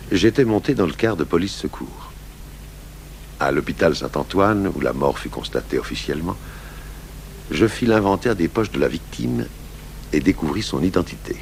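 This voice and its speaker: An actor playing a seasoned police commissioner who narrates an old case in a measured, reflective way for a radio drama. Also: male voice, heavily acted. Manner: measured, reflective, literary phrasing. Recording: hissy 1980s radio recording, low hum.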